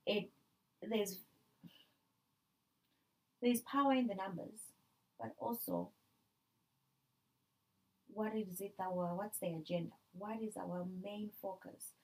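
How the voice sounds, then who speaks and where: A woman's voice: medium pitch, slow, pauses often, rough recording. A woman from Canada in a casual conversation, talking animatedly and using playful examples. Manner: animated, uses playful examples and acted-out quotes.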